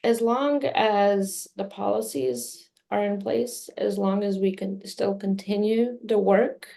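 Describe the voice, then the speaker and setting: A woman whose first language is Serbian, answering interview questions in a measured way with many fillers. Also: medium pitch, lively intonation, gravelly, dark tone. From Midwest US. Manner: measured, many fillers, speaks of herself personally. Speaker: communications director, interview guest.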